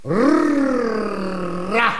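Pedantic tutor, rather high voice. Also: male voice, expressive, drawn-out words, fairly bright tone, echoey room, exaggerated voice. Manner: didactic, precise, formal. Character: pedantic, patient male language tutor, self-important. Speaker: actor in a radio drama. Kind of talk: theatrical classic-comedy radio acting.

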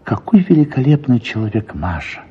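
A man reading a first-person literary story for radio in an expressive, reflective manner, with drawn-out words and pauses. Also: mid-range voice, husky. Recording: slightly dull radio sound, music behind. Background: soft music.

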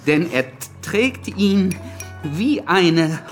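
A man's voice: high-pitched, animated, very slow, faint music behind, full character voice. An actor playing a nervous young male pamphleteer who stammers constantly, in a radio drama. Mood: musing.

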